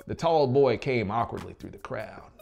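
A man in his thirties narrating a gothic horror audiobook with deliberate, theatrical phrasing. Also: mid-range voice, lively intonation, vocal fry, a little mellow, lo-fi sound. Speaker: audiobook narrator and musical performer. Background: music under the voice.

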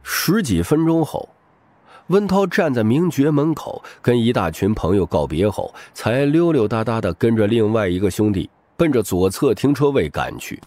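A man's voice: mid-range voice, lively intonation, moderate pace, darkish tone. A man giving dramatic scripted narration of a crime novel as an audiobook narrator. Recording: music bed behind the voice.

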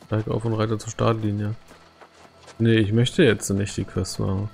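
Male game streamer, lowish gravelly voice, casual and colloquial delivery, clear game music underneath. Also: flat delivery, moderate pace, darkish tone, a little hiss or hum. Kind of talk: casual live gameplay commentary.

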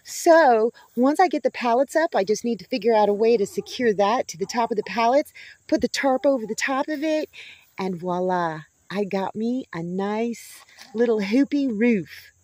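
A woman's voice: on the high side, animated, normal speed, darkish tone, no echo. A middle-aged woman chatting casually as she films her homestead outdoors, folksy and talking to her chickens now and then. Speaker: homesteading vlogger. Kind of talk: casual vlog chat. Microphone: handheld camera or phone at arm's length.

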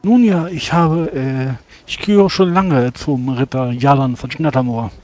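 A man playing a courteous, formal battle mage who speaks politely and haltingly. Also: mid-range voice, darkish tone, lightly acted.

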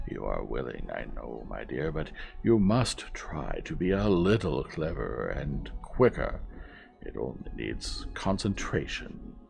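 Audiobook role: cantankerous, commanding rich old woman, played by a man, swooping and emphatic, gravelly edge. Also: a bass voice, big pitch swings, measured pace, darkish tone, soft background music, full character voice. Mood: scolding, haughty. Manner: snappish, commanding, condescending. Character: imperious, cantankerous old wealthy lady, always fault-finding. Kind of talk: audiobook character acting.

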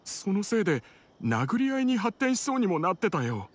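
A man's voice: sits high, big pitch swings, moderate pace. A man playing a frightened, cautious villager in an anime video game, explaining things earnestly. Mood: shaken, relieved. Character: frightened young male villager, robbed and cautious. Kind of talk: Japanese anime game voice acting. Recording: background music under voice.

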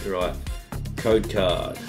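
A man, hosting a casual vlog where he opens trading card packs. His voice is slightly husky from a recent bug, and he talks in an upbeat, chatty way. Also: low voice, drawn-out words, music in the background. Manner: upbeat, hype-building, chatty. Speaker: card-opening YouTuber host. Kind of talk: casual pack-opening vlog. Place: home room with colored lights. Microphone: studio mic on a desk arm.

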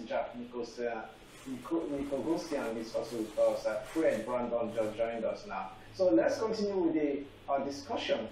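A young man hosting a televised panel discussion, halting with many fillers. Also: mid-range voice, mellow. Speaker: TV panel anchor. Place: TV studio set. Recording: echoey room, faint noise, far from mic.

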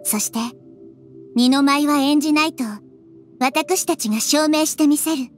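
A woman voicing a gentle, wise little girl deity in an anime-style game, calm, composed and earnest. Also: high-pitched, unhurried, soft background music.

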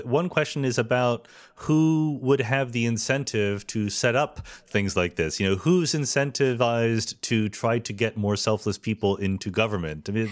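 A man hosting a podcast, asking thoughtful, measured questions in a casual long-form interview. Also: mid-range voice. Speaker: podcast host.

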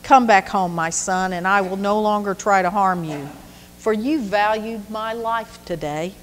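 A woman playing a quiet, remorseful old king. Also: medium pitch, unhurried, in a large hall, slight background noise, close to an everyday voice.

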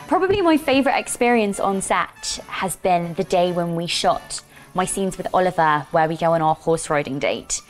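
Bubbly young Londoner actress. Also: female voice, on the low side, animated, normal speed, faint music behind. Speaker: actor. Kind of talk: press interview.

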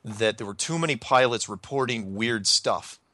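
A man hosts a loose, casual talk show. His voice is bright and forceful, slightly lower than most men's, and sits dry and close with no room around it. He rambles, restarts and circles back as he talks. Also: normal speed, dry recording. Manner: rambling, many restarts and fillers. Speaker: podcast host. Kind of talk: casual call-in chat.

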